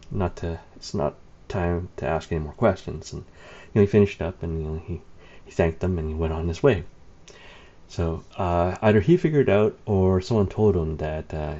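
Man, very low dark voice, rambling anecdotal storyteller, dull lo-fi sound. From Canada. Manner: rambling, many fillers, wry laughs. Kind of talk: casual anecdote telling. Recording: dull lo-fi recording.

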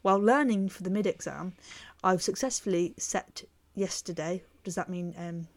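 A woman's voice: on the low side, moderate pace. A woman co-hosting an educational podcast, talking with some hesitation and reading out listener messages. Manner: hesitant with fillers, reads listener mail aloud. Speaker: podcast co-host. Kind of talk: casual educational podcast chat.

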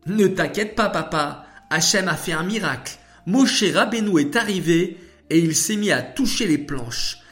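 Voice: medium pitch, pitched above the performer's own voice. A storyteller voicing a curious, enthusiastic little girl who talks eagerly to her papa. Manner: eager, exclaiming, astonished questions.

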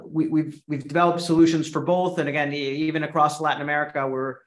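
A man's voice: fairly high pitch, level tone, quick pace, echoey room. A man from Venezuela, a company head talking as a podcast guest, hesitant, with many ums and restarts. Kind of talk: podcast interview.

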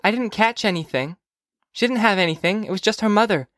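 Narrator voicing an earnest, high-pitched little girl. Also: fairly quick, fairly bright tone, no room sound, clean studio sound, close to an everyday voice. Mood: matter-of-fact. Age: child figure. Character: young girl, earnest, blunt, chatty kid sister. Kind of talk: audiobook character dialogue.

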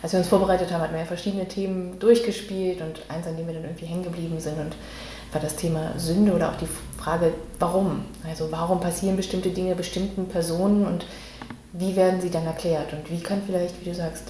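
Woman podcast host, mellow voice, echoey room. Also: lowish voice, level tone, quick pace. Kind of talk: conversational podcast interview. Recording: echoey room with faint background noise.

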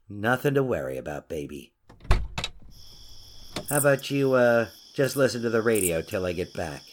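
A cocky boyfriend in a horror play acted by a man, amorous and dismissive. His coaxing lines swing up and down at an unhurried overall pace. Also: medium pitch. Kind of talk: horror drama dialogue.

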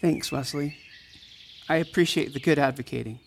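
Soft-spoken, high-pitched anxious man. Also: normal speed, creaky, a little hiss or hum. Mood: subdued, grateful. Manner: hesitant, soft-spoken, earnest. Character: anxious, hurt, caring man leading a support group. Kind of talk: fiction podcast audio drama acting.

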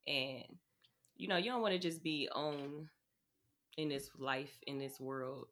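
A woman co-host with talks in an encouraging, relaxed way on a podcast. Her voice is low-pitched and bright. She backs up her friend with short affirmations and easy advice. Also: unhurried. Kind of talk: casual chat.

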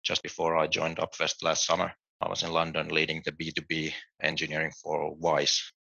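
A middle-aged man speaks in an extremely low, gravelly and flat voice, yet with a sharp, bright edge. He explains things steadily, with small restarts. The sound is dry and a bit dull, like lo-fi remote audio. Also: fairly quick, no room sound. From Finland. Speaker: guest, tech executive. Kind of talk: podcast interview answers.

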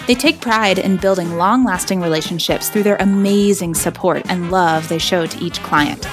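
A woman's voice: lowish voice, moderate pace. A woman reading a scripted sponsor ad. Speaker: sponsor announcer. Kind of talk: scripted ad read. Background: music.

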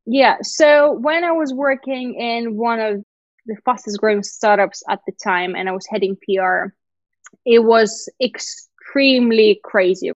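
A woman's voice: fairly high pitch, unhurried, dry recording, dull audio. A woman in her thirties who grew up in Lithuania with Russian as her first language, telling her story candidly as an interview guest. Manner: candid, animated storytelling with frequent laughs. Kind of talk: casual interview chat.

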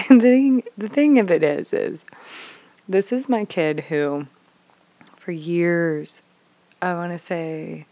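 A woman in her thirties candidly telling parenting stories, swearing freely and acting out dialogue, dropping to whispers and then bursting out loud. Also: sits low. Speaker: mother sharing parenting stories. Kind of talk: candid casual storytelling.

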